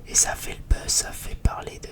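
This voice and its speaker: An ASMR creator chatting in a rambling, hesitant way, often repeating phrases. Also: normal speed. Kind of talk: whispered ASMR chit-chat.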